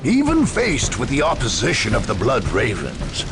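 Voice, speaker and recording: A man's voice: mid-range voice, huge pitch swings, moderate pace, creaky, over music. Dramatic male game narrator with sweeping intonation. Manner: solemn, formal storytelling delivery.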